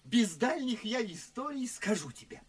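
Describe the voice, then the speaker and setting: Radio drama role: an excitable, boastful chatterbox, husky and higher-pitched, wildly animated, drawing out his words. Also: moderate pace, full character voice. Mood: excited, admiring. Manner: gushing, exclamatory, conspiratorial, drawing words out. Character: boastful, good-natured, foolish chatterbox gentleman, excitably confiding. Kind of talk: theatrical radio play verse acting.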